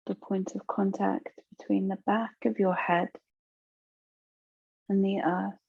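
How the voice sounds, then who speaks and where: A woman leads a guided relaxation as a yoga nidra teacher. She speaks very slowly and gently, leaving long pauses between soothing instructions. Her voice has a creaky, gravelly edge, and the recording is dry and a little dull. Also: mid-range voice, level tone, dry recording.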